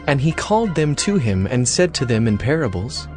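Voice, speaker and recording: A man narrating scripture as a solemn story, with clear phrasing, in a dramatized audio reading. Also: mid-range voice, very animated, normal speed. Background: music.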